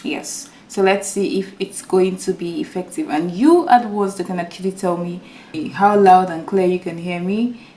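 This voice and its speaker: A woman YouTuber giving an earnest motivational talk to her viewers, repeating her points and calling them guys, with a small, soft voice on a new microphone. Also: fairly low pitch, moderate pace, reverberant. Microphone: new microphone without full setup.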